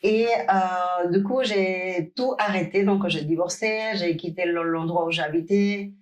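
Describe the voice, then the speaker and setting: A woman's voice: on the low side, a little slow. A woman from Cuba, speaking French as a guest on a podcast, hesitating often with fillers and restarts. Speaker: guest, pro bikini athlete. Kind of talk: podcast interview.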